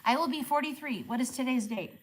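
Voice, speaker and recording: A woman in her forties chatting casually with viewers on a livestream, asking friendly questions and murmuring softly when she reads comments. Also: sits high, fast, noisy background. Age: 40s. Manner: drops to a soft murmur when reading comments aloud. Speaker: live streamer.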